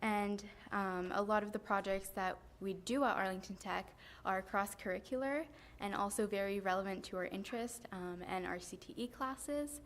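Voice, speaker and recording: A teenage high school girl giving earnest prepared remarks at a school board meeting, steady with frequent ums, into a podium mic. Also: moderate pace. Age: teens. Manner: earnest, steady, many um fillers. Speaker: high school student speaker. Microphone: podium microphone.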